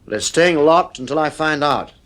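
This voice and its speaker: A man's voice: on the high side, lively intonation, normal speed, a bit bright. An actor playing a stern, exasperated middle-aged father in a 1950s radio drama, speaking in a firm, clipped, authoritative way. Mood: worried, irritated.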